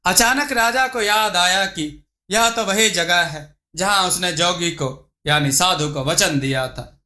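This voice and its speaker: A male storyteller reads a scripted moral tale in a high-pitched, bright voice. He takes his time over each sentence and gives the telling an expressive shape. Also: takes it slowly.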